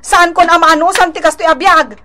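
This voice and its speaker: A woman's voice: extremely high pitch, steady tone, brisk, pushed and bright. A woman playing a bitter, sarcastic wife and mother of a poor family in an emphatic radio drama. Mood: fed up, reproachful.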